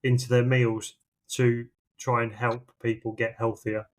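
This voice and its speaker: A man's voice: mid-range voice, flat delivery, a little slow. A man with a British accent, co-hosting a podcast in casual chat, with dry, sarcastic and sometimes sweary banter. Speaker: podcast co-host.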